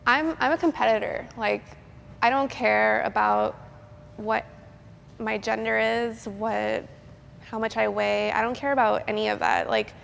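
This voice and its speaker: A young woman in her twenties with a slightly raised pitch and a gravelly, fry-laden edge, talking bluntly and competitively in a casual group chat. Her voice carries a long reverb from a large room, with faint music underneath. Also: fairly even intonation, unhurried, fairly bright tone, slight background noise. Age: 20s. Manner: blunt, competitive, many "like" fillers. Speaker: pro gamer.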